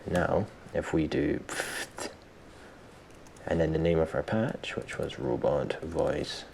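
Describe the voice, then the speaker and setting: A man with an extremely low voice and plenty of gravelly fry walks through a software tutorial. He is informal and self-deprecating, thinking aloud as he goes. A faint background noise sits under the recording. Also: lively intonation, slow pace. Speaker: tutorial presenter.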